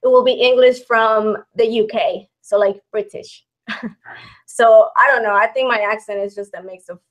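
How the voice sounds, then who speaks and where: A woman's voice: a little high, steady tone, normal speed. A woman in her thirties from Mexico, with a Mexican accent tinged with Texan, chatting casually as host and reading out viewer questions. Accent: Mexican with some Texan. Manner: chatty, laughs often, restarts phrases. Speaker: channel host.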